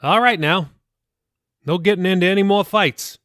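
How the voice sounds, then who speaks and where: Man playing middle-aged pastor dad, warm, teasing, coaxing, raised pitch, audiobook dialogue. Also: moderate pace, smooth, dry recording, clean studio sound. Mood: friendly.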